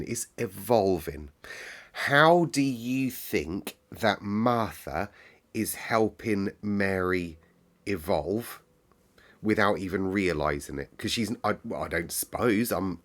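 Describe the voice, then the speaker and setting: Guy with a lowish voice doing story time, talking to his listeners. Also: a bit bright. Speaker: storyteller and host. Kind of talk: expressive read-aloud and casual chat to listeners.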